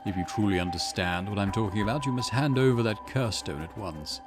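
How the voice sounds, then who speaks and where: A man's voice: lowish voice, brisk, music in the background, lightly acted. Man voicing a stern, formal company man. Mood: urgent. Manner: formal, commanding. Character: stern, insistent company man pressing for cursed stone. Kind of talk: visual novel character reading.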